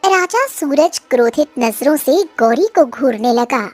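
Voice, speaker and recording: Female narrator for kids' cartoon tales, high pitch, slow pace, dry sound over light music. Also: expressive, darkish tone, no room sound. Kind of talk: scripted children's story narration.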